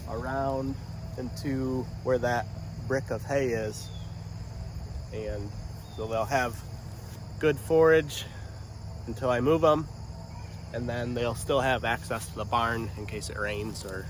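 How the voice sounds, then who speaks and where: Man with a head cold, stuffy-voiced; rambling, halting farm vlog outdoors, faint wind and insect noise on a camera mic. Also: medium pitch, steady tone. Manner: rambling, hesitant, many ums. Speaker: farm vlogger. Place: outdoors in a windy pasture. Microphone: camera mic, no external mic.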